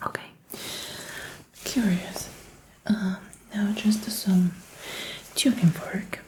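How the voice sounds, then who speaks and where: Casting: female ASMR doctor, hushed whisper, soft mellow tone, gentle exam instructions. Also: medium pitch, fairly even intonation, drawn-out words, rough recording. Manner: gentle, attentive, softly instructing. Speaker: ASMR performer playing a doctor in a medical exam roleplay. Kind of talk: whispered ASMR medical roleplay.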